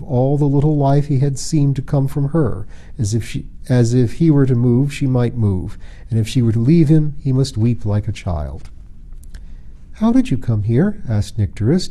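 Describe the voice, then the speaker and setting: A man reading a fairy tale aloud as an audiobook narrator, in an expressive, measured, literary style. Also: mid-range voice. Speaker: audiobook reader.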